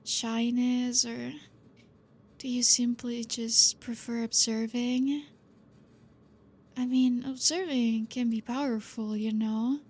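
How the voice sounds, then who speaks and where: A woman playing a gentle, teasing, possessive vampire teacher in an intimate ASMR roleplay, speaking softly and breathily in a coaxing way. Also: fairly high pitch, level tone, slow. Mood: probing, soft. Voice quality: soft, breathy.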